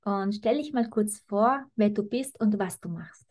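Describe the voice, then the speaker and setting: Young woman, host, upbeat, steady questions, home office, camera mic. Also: medium pitch, measured pace. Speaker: host, mentor. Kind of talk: hosting an interview.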